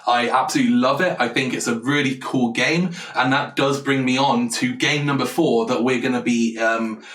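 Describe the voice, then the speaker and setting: A man's voice: mid-range voice, fairly even intonation, moderate pace, a bit bright. A man thinking aloud on a live stream while designing a board game, eager and rambling as he answers chat. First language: English. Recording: roomy, distant from the mic.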